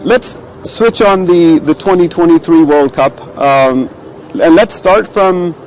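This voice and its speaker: A man from Pakistan hosting a casual cricket chat, halting with frequent fillers and restarts. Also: a little high, soft background music, rough recording. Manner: hesitant, many fillers and restarts. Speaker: sports show host.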